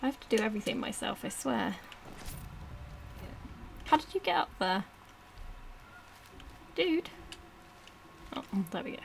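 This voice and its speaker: A woman's voice: a little high, very animated, a little slow, creaky, pauses often, slight background noise. A woman giving casual, informal let's-play commentary, muttering to herself and to the game as she plays. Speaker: gaming video host.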